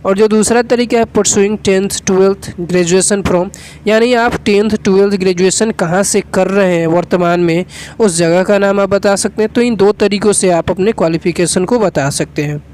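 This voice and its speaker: A teacher giving a spoken English lesson, slowly explaining each step and repeating example phrases. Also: mid-range voice, darkish tone.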